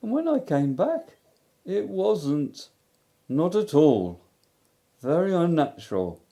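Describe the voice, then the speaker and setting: A man's voice: fairly high pitch, very animated, creaky, mellow, pitched above the performer's own voice, exaggerated voice. A man voicing a gloomy, mournful old donkey. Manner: slow, mournful, self-pitying. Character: gloomy old male donkey, melancholy and grumbling.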